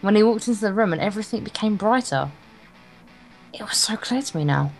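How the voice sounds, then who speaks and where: A woman's voice: medium pitch, lively intonation, a little fast, faint music behind. A woman reading visual novel text aloud as a let's play co-host, dramatic and jokey. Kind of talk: story read-aloud with playful banter.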